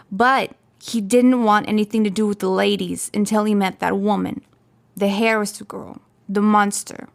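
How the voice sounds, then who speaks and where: A creaky-voiced, devout mother in her sixties, played by an actress, gives a blunt interview in a true-crime style audio drama. She is bitter and cutting, with a medium pitch. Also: lightly acted. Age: 60s. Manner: blunt, cutting, matter-of-fact. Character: bitter, devout small-town mother of sixty-two, homophobic.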